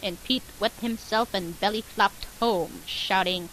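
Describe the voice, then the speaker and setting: A woman's voice: mid-range voice, measured pace, fairly bright tone, rough recording. A woman narrating a fan fiction as a comic dramatic reading, with playful, exaggerated storytelling. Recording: hissy amateur home recording.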